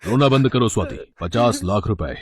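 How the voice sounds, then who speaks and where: A cold, proud rich father with a husky, lowish voice, voiced by a man. He speaks harshly and with scorn in an animated storybook. The sound is dry and close. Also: moderate pace, no echo. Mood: stern.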